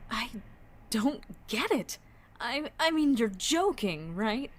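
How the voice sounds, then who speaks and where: A woman's voice: a little high, sing-song, takes it slowly, heavily acted. A woman voicing a flirtatious, playful costumed antiheroine in a comic book dub, with a coy, purring delivery. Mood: baffled, let down.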